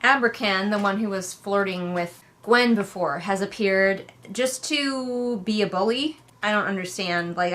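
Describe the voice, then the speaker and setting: A woman's voice: mid-range voice, fairly bright tone. A woman giving casual, sarcastic commentary as she hosts a book readalong, in a wry, exasperated, conversational way.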